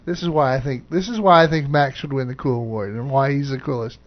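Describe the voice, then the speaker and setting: A man's voice: medium pitch, fast talker. A man co-hosting a casual internet radio talk show, laid-back and modest, laughing often. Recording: dull lo-fi audio.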